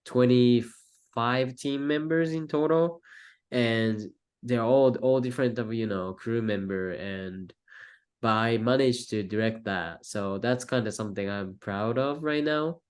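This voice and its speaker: A young man from Japan with a Japanese accent, a native Japanese speaker, answering interview questions in halting English with many fillers and restarts. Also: mid-range voice, clear, resonant tone. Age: young adult. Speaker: guest, film student.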